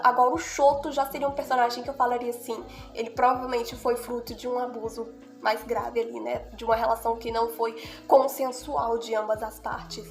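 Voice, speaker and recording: Nasal young woman with a cold. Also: fairly high pitch. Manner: earnest, heartfelt, repeats "né?" and "sabe?". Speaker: video creator analyzing anime. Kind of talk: casual analysis monologue.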